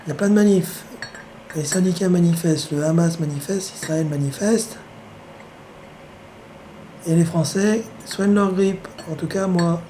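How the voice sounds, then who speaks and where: A man with the flu talks at home in a loose, meandering monologue, his hoarse voice mellow and dark. He is wry and sardonic, and he pauses often with drawn-out hesitations. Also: high-pitched, slight background noise, rough recording. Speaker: vlogger. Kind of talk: rambling casual monologue.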